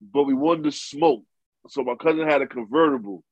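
A man's voice: medium pitch, normal speed, no room sound. Slangy New York man, dry muffled sound. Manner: animated storytelling, slangy. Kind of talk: casual chat.

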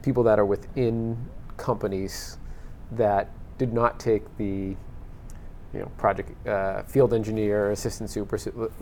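Low-voiced man hosting a discussion. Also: little pitch movement, unhurried, darkish tone, slight background noise. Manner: conversational, searching questions with restarts. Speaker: podcast host. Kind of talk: panel discussion.

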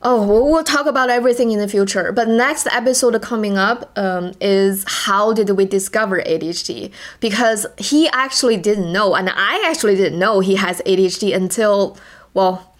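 A woman's voice: medium pitch, moderate pace. Woman, Chinese accent, non-native English speaker, podcast co-host, casual chat, repeats and restarts words. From China.

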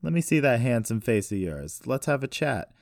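A man's voice: mid-range voice, fast, darkish tone, no room sound, studio quality, pitched above the performer's own voice, lightly acted. A man voicing a defiant captive woman in an audiobook story, teasing and flippant to mask her fear. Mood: taunting.

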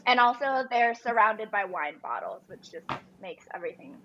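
A woman's voice: mid-range voice, expressive, moderate pace, muffled recording, noisy background. A woman co-hosting a podcast in casual, amused chat. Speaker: podcast co-host.

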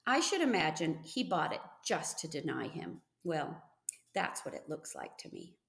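A woman's voice: sits low, wildly swooping pitch, moderate pace, gravelly, fairly bright tone, reverberant, voice pushed down, heavily acted. A woman voicing a worried farm mother in a storybook reading, admonishing and weary with her teenage son. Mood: placating.

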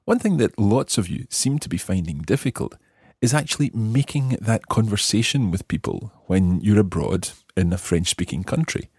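A man's voice: on the low side, lively intonation, moderate pace, a little mellow, husky, no echo, studio quality. A man presenting a language lesson podcast in a conversational, explanatory style.

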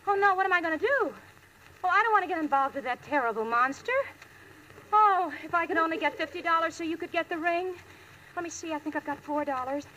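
An actress playing a sensible, exasperated young working woman with crisp theatrical delivery in 1940s radio comedy. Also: very high-pitched, fast, sharp tone. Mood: alarmed. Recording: faintly noisy old radio recording.

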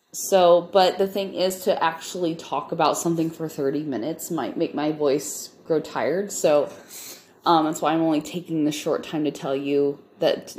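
A woman in her thirties from the Midwest, hoarse and stuffed up from a cold, giving a rambling casual update to her podcast listeners with lots of ums. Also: fairly low pitch, a little mellow. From Nebraska, US Midwest. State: hoarse, congested. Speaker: podcast host.

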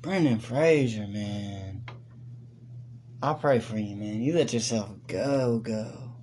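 A man's voice: fairly low pitch, slow, a little mellow, a little hiss or hum. Joking, profane man on a podcast. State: high. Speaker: podcast co-host. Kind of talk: casual crude chat.

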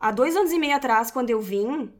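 Gaúcha podcast host, slightly high voice, friendly and asks lots of questions. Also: female voice, expressive, fairly quick, a little hiss or hum. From southern Brazil. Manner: upbeat, welcoming, poses questions. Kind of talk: podcast hosting.

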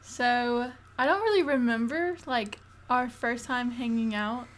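A young woman co-hosting a relaxed podcast. Her voice sits a little high. She tells her side of the story in an easygoing way, often breaking into giggles. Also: measured pace, a little hiss or hum. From Florida, US. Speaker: podcast co-host. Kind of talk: casual chat.

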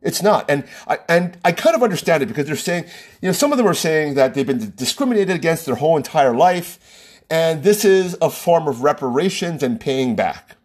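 Husky, animated American man podcasting. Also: fairly high pitch, normal speed. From United States. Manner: emphatic, rhetorical, frequent "right?" asides. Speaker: podcast host. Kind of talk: opinionated solo podcast monologue.

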